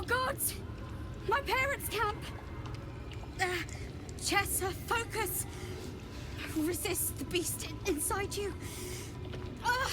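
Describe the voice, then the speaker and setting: A feral vampire girl in a video game, vengeful and fighting her own hunger. Her voice is extremely high, in broken, gasping bursts with long gaps. Loud music plays behind her. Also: heavily acted. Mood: frantic, struggling. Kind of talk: intense video game acting.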